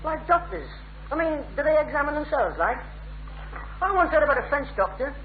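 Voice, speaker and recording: A young working-class chancer from an old radio sitcom, with a North East English accent. He pesters and cajoles in a high, swooping voice. The recording is thin and tinny, with some hiss. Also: very animated, brisk. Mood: musing, cheeky. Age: young adult. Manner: persuasive, pestering, chatty. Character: cajoling, cheeky young working-class brother, full of schemes.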